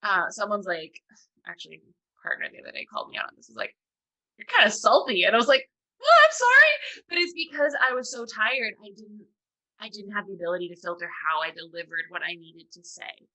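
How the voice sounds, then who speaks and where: A woman guest chatting casually, with a calm, soothing voice and a rambling, self-deprecating, giggly manner. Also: mid-range voice, a little fast, rough recording. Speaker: podcast guest. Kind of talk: casual chat.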